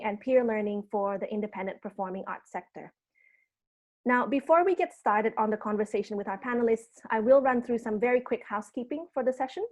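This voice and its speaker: A woman in her early thirties, a native Indonesian speaker, hosting a webinar over a video call and running through housekeeping in a measured, orderly way. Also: a little high, a little mellow. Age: early 30s. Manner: measured and orderly, slowing down for access descriptions. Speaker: host and program producer.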